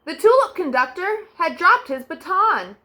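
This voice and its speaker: A young woman hosting a children's storytime, reading picture books aloud in a gentle sing-song way with soft, drawn-out refrains. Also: very high voice, very animated.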